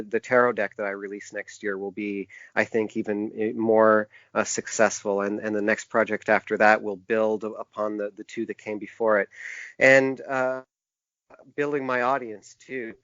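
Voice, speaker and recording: A middle-aged man speaking casually as an interview guest, in a hesitant, halting, self-reflective way. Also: lowish voice, little pitch movement, a bit bright, dry recording, muffled recording. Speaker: podcast guest, author and small publisher.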